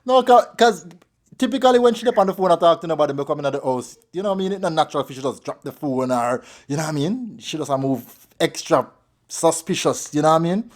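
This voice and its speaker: A man's voice: on the high side, expressive, quick pace. A Jamaican man talking casually on a prank phone call, with repetitive questioning. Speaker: prank show host.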